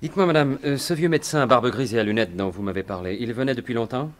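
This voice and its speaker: An actor playing a commanding, inquisitive gentleman sleuth in a French radio mystery, polite and assured. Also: medium pitch, quick pace, lightly acted. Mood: probing. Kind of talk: 1950s-style French radio mystery acting.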